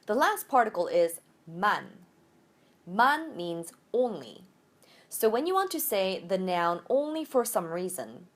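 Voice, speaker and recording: A woman language teacher giving an instructional lecture, explaining step by step and switching between two languages for example sentences. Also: fairly high pitch.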